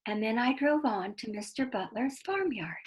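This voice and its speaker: A woman reading a children's story aloud in a deliberate, explanatory way, with asides to young listeners. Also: a little high, expressive.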